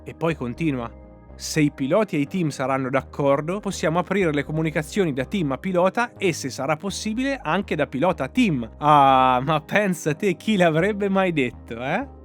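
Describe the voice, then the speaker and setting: Male motorsport commentator, higher-set voice, scripted opinion read, emphatic and sarcastic, music bed underneath. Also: animated, normal speed. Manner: emphatic, sarcastic, rhetorical questions. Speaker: motorsport commentator, channel host.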